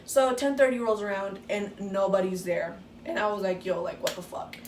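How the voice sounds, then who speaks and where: A woman's voice: medium pitch, steady tone, moderate pace, a little mellow. A teenage girl casually telling a story, swearing freely and acting out what people said. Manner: casual, swears freely, acts out quoted dialogue. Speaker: vlogger. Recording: echoey room, distant mic, faint noise.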